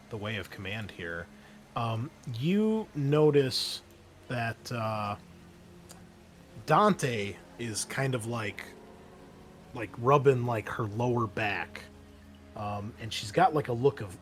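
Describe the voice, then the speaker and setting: A man running a tabletop roleplaying game, improvising narration in an informal, hesitant way. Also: mid-range voice. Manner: informal, hesitant phrasing with frequent "like". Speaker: game master.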